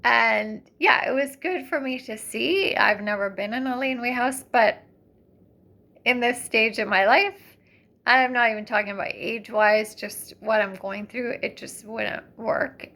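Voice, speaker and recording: A woman's voice: fairly high pitch, measured pace. A woman telling a personal story in a casual, chatty, rambling way, acting out bits of dialogue as she goes. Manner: chatty, rambling, animated when recounting dialogue. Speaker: vlogger.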